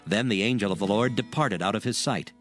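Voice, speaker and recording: A man narrating scripture from a script in a solemn, measured storytelling manner. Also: on the low side, a bit bright, soft background music.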